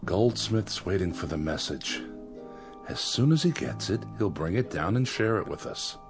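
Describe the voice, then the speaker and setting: An actor playing a weary, stubborn leader of hungry survivors, firm and commanding, in a dramatic radio play. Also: male voice, low-pitched, animated, moderate pace. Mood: stern. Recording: dramatic music behind the voice.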